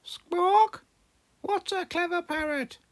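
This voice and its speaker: Shrill squawking parrot. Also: slow pace. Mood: squawking, mimicking. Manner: repeats phrases in short parroting bursts. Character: squawking female pet parrot copying people's words.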